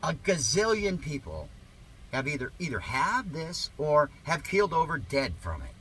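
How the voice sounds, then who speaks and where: A man performs a satirical reading with heavy sarcasm and mock-earnest emphasis. His voice is bright and forceful, swinging animatedly in pitch. A faint layer of noise sits behind the homemade recording. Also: wildly swooping pitch, normal speed, poor audio. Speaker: reader of a satirical article.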